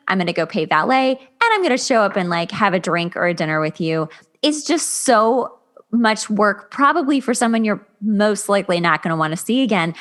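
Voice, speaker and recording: A woman hosting a podcast in a casual, expressive way, with very informal phrasing. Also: mid-range voice. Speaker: podcast host, interviewer. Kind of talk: casual chat.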